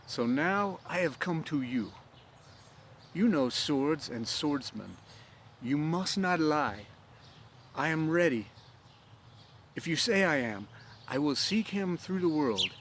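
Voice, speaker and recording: A man's voice: on the high side, lively intonation, slow pace, pauses often, fairly bright tone, a little hiss or hum, exaggerated voice. A man voicing an earnest, driven young swordsman in a storybook reading, eager and rambling. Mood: solemn, determined. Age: young adult. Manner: eager, rambling, counting aloud. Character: earnest, driven young swordsman bent on avenging his father.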